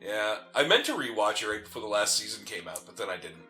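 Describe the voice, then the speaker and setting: Lively, lower-pitched man bantering. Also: quick pace, soft background music. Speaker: gaming podcast co-host. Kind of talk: casual banter while playing a video game.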